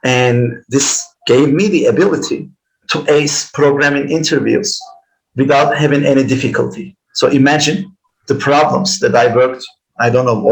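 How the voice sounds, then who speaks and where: A man's voice: medium pitch, vocal fry, poor audio. A man in his thirties with a Turkish accent, explaining his career step by step as an interview guest. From Turkey. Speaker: interview guest, engineer and educator.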